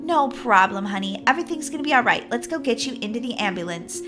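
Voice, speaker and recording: A woman playing a brisk, kindly female paramedic in a children's skit, reassuring and a little bossy. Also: a little high, animated, music in the background, pitched below the performer's own voice, lightly acted. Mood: soothing, brisk. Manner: soothing, bossy, calls patients honey. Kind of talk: playful skit role-play for children.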